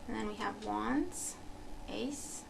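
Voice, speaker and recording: Gravelly woman. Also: mid-range voice, slow, background noise. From Romania. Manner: musing, thinking aloud with fillers and restarts. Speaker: tarot reader. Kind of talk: casual unboxing commentary. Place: home room at a table.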